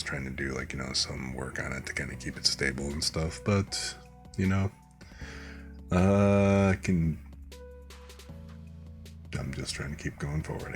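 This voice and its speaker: A hoarse man hosting a live stream, chatting in a relaxed, rambling, soft-spoken way. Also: extremely low pitch. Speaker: streamer host. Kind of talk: laid-back stream chat.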